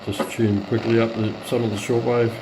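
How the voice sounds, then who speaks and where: An Australian man casually walking through a product in a hesitant, low-key, unscripted way. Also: little pitch movement, moderate pace, very noisy. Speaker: radio hobbyist presenting a demonstration. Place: indoors at home, radio on a countertop.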